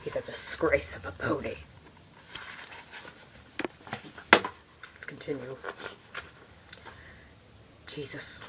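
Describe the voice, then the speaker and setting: A woman voicing a stuffy, horse-loving male troll who reads a storybook aloud in a formal, pompous way, with muttered, exasperated asides and a husky voice. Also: extremely low pitch, steady tone, slow, heavy background noise. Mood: disapproving.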